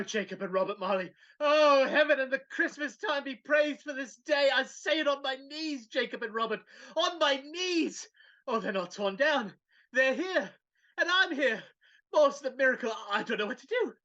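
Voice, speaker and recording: Woman doing old miser guy in a live reading, super impassioned, high bright voice, kinda lo-fi call audio. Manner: impassioned, theatrical. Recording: dull video call audio.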